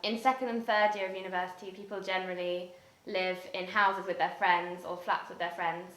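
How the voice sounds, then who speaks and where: A woman's voice: fairly low pitch, fairly even intonation, a little fast, fairly bright tone. A young woman with an English accent, an exchange student giving articulate, upbeat interview answers. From England. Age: young adult. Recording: echoey room, mic at a distance.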